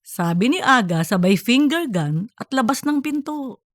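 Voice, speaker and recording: A woman reading scripted comedic fiction as an audiobook narrator, in a lively storytelling way. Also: on the high side, measured pace.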